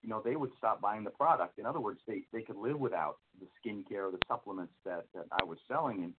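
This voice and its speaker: A man from the American Midwest in his fifties, a guest on a call, telling his career story in a friendly, earnest, slightly halting way. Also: on the low side, breathy edge. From United States (Minnesota, Iowa). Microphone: phone. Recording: tinny phone line from far off.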